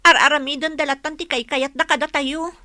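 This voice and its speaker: A woman's voice: sits high, fairly even intonation, brisk, pushed and bright, no room sound. A woman playing an anxious, pleading young village daughter in a radio drama, with background noise. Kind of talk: radio drama acting in Ilocano.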